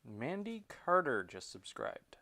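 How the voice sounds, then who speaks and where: A man's voice: medium pitch, big pitch swings, slow pace, bright, forceful tone. A young man helping on a livestream, chatting casually from away from the microphone. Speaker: livestream tech helper. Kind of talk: casual off-camera chat. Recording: off-mic, noisy room.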